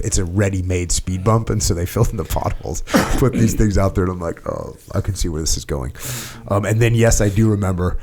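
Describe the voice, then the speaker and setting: The low-pitched American man across the table on a long-form military podcast, steering the conversation. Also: a little mellow. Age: middle-aged. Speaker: podcast host. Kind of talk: casual conversation, war reminiscing with some reading aloud.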